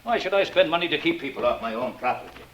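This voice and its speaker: A gruff, irritable man of the neighborhood, scolding and threatening with big swings in pitch. It sounds like vintage radio acting on a faintly noisy recording. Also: animated, fast talker, fairly bright tone. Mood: angry, threatening. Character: irritable, threatening male neighbor, grouchy property owner. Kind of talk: old-time radio drama acting.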